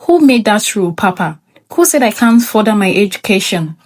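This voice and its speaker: A woman's voice: mid-range voice, very animated, moderate pace. A woman voicing an earnest, insistent teenage girl with big dreams in a narrated folktale. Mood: defiant.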